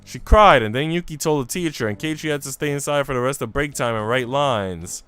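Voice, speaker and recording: A man's voice: mid-range voice, animated, a little fast, bright, forceful tone, clear, resonant tone, faint music behind, voice pushed up. A man voicing a blunt, bossy, food-loving little girl from a visual novel. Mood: matter-of-fact. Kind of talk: visual novel character read aloud.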